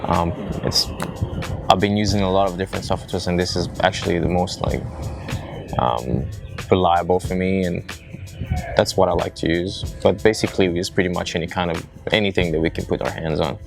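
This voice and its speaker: A man's voice: low-pitched, steady tone, brisk, creaky, over music. A man from Brazil, a musician, giving casual interview answers in an informal, rambling way. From Brazil, half French. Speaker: musician and producer in a band.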